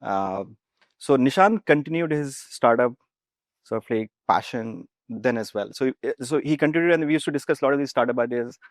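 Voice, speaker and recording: A young man with an Indian English accent and an average-pitched voice. He recounts in an informal, stepwise way as an interview guest, with a dry, close sound. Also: fairly quick, no room sound. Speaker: startup founder, interview guest. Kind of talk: casual career recounting in an interview.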